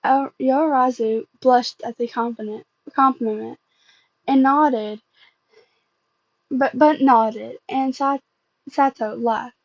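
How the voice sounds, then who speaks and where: A woman reading fan fiction aloud as an amateur, in a halting way, often stumbling and repeating phrases. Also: high-pitched, fairly even intonation, no echo, highs cut off.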